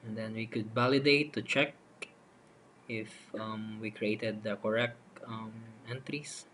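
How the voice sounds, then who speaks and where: Man narrating a software tutorial over noise. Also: lowish voice, slow pace. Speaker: software demonstrator. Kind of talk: step-by-step screen tutorial narration. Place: voice-over for a screen recording. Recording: noticeable steady background noise.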